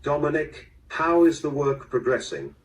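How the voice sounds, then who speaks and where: Composed, formal rich-family gentleman male actor, audio drama, animated pitch movement, distant small-speaker sound. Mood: smooth, firm. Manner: formal, measured, polite. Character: composed, proper man of a wealthy estate family. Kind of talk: mystery audio drama acting.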